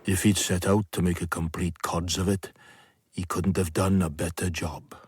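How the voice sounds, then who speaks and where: A man's voice: low voice, little pitch movement, normal speed, darkish tone, a little hiss or hum, natural voice. A man playing a dry, wry storyteller, narrating a radio drama.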